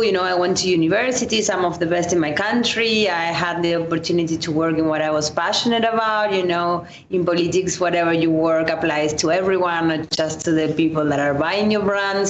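A woman in her thirties from Argentina, a guest in an interview, speaking thoughtfully with fillers and restarts. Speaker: guest entrepreneur. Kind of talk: conversational interview. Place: studio table in a coworking space. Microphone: boom mic on the table. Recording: echoey, dull, faint rumble.

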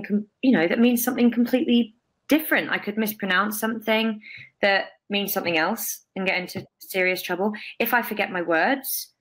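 A young woman, a guest telling emphatic, expressive stories over a video call from a bright room. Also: medium pitch, low-quality recording. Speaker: guest, stage performer. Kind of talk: casual interview.

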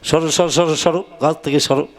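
Man acting as an exasperated puppet dentist, exaggerated exclamations, raised male pitch, prominent backing music. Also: fairly even intonation, fairly quick, lightly acted. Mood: baffled, scolding. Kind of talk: broad comic puppet-show dialogue. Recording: loud music behind the voice.

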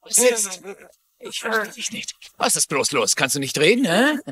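High-pitched, taunting deputy bully. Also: male voice, sing-song, measured pace, pushed and bright, breathy edge, full character voice. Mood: taunting, aggressive. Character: bullying young hilfs-sheriff sneering at an old man. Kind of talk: dubbed TV crime drama acting.

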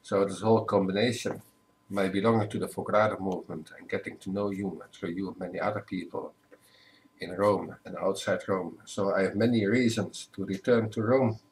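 Low-voiced older man, measured. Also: steady tone, a little hiss or hum, rough recording. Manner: careful, measured, with self-corrections. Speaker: priest, guest. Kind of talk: relaxed interview answers. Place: home living room. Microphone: webcam at desk.

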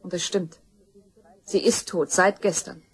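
A woman's voice: on the low side, fairly even intonation, a little slow, breathy edge. An actress playing a determined young woman in a crime radio play, accusing and insistent. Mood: grave, insistent. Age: young adult. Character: grim, determined young woman seeking justice for her murdered mother.

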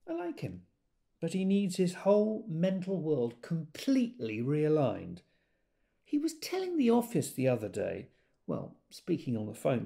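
A gossipy, wry office worker in an audio drama. He sounds exasperated and confiding, leaning hard on words with lively swings of pitch. The voice has a creaky grain. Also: heavily acted. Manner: sardonic, emphatic, confiding. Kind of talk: conversational audio drama monologue.

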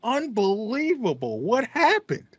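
A man's voice: very high voice, huge pitch swings, unhurried, slightly rough, dry recording. A man chatting casually as a gaming podcast host, sympathetic and mock-indignant. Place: small room with acoustic foam, indoors. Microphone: headset on a video call. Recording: slightly dull, lo-fi online call.

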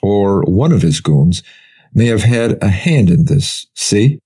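Male detective sergeant, audio crime drama. Formal, falsely gentle, soft dark tone with rough edges. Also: fairly low pitch, lively intonation, exaggerated voice. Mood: calm, probing. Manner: measured, formal, probing. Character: deceitfully tame, polite male police detective sergeant. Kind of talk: gritty audiobook drama acting.

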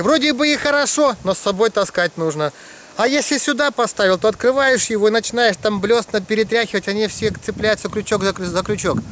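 A man in his thirties chatting casually as a fishing vlogger outdoors by a lake, recorded on a head-mounted action camera. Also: high voice. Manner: chatty, explaining with lots of fillers. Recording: loud wind noise outdoors.

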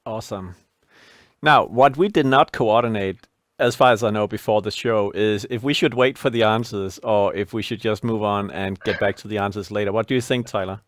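A man's voice: on the low side, no echo. Danish guy hosting a webinar, dry close sound, bit hesitant with ums. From Denmark. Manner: friendly, slightly halting with fillers. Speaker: company founder presenting. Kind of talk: webinar presentation. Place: studio set at a desk.

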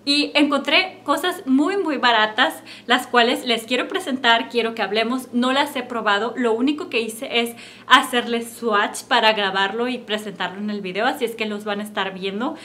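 A young woman with a Mexican accent, chatting casually to camera about makeup she bought, recorded at home in a bright room. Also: a little high, normal speed. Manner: chatty and upbeat, friendly direct address. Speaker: beauty vlogger.

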